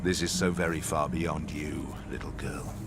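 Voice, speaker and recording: An actor playing a cold, grandiose villain in a video game, speaking with measured, condescending menace. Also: male voice, rumbling low, flat delivery, gravelly. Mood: cold, contemptuous. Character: cold, contemptuous male villain, grandiose schemer. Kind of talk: dramatic game cutscene dialogue. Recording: noisy background ambience.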